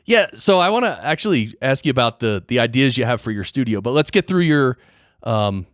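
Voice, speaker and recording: A man chats as a podcast host in a very dry, close recording. His pitch sits in the middle for a male voice. He explains things informally and often turns a point into a question for his guest. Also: very animated, fairly quick, no echo. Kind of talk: casual interview chat about audio gear and production.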